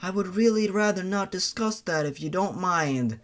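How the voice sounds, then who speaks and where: A man's voice: sits high, lively intonation, normal speed. A man voicing a polite, composed young woman with a vengeful streak, in an audio horror story. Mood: reserved.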